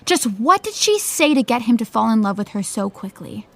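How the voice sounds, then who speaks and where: A woman's voice: on the high side, big pitch swings, moderate pace, fairly bright tone, heavily acted. A woman playing a pushy, playful young best friend in an audio drama, coaxing and insistent. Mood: coaxing, pointed. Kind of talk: naturalistic audio drama dialogue.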